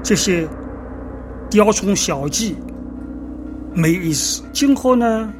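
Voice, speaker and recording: An actor playing a haughty Chinese emperor, declaiming an edict in an imperious, deliberate manner. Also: male voice, high-pitched, expressive. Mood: imperious, disdainful. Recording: loud music behind the voice. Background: music.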